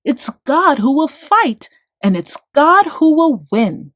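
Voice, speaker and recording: A small, fearless shepherd boy, played by a woman, high and very animated. He is bold and defiant, declaiming his rhyming storybook lines. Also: takes it slowly, dry recording, clean studio sound, heavily acted. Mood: frustrated, determined. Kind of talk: rhyming children's storybook read-aloud.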